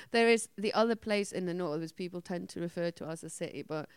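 A woman's voice: lowish voice, a little fast, clear, resonant tone, dry recording, clean studio sound. A woman from Iceland whose first language is Icelandic, speaking English with an Icelandic accent in casual chat, laughing often. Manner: laughs often, quotes herself in a raised voice.

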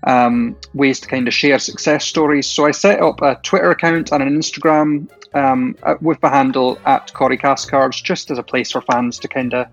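A friendly man in his thirties with a Shetland accent, chatting easily and sometimes pausing to think. The sound is slightly dull, and faint music runs underneath. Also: medium pitch, fairly even intonation. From Shetland Isles, Scotland. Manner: friendly, chatty, laughs often, some hesitation. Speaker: quiz contestant. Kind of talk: casual interview answers.

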